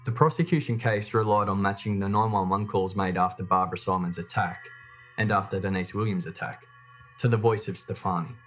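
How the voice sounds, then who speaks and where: A man reading scripted true crime documentary narration in a measured, matter-of-fact way. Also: sits low, soft background music.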